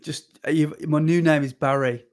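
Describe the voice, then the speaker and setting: A man co-hosting a podcast. His voice is hoarse and deeper than usual from illness, and he jokes around playfully. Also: moderate pace. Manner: jokey, animated, playful. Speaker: podcast co-host. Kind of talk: casual chat.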